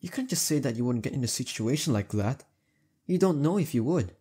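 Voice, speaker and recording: A young man acting as a heartbreaker friend in an intimate roleplay audio, talking casually and haltingly with emphasis and a soft husky edge. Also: mid-range voice, lively intonation. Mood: defensive, teasing.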